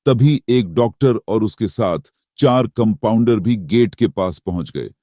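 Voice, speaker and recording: Brief: a male horror storyteller with a dark, mellow tone and dry, close sound. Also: on the low side, animated, a little slow, dry recording. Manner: dramatic, suspenseful. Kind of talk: scripted narration.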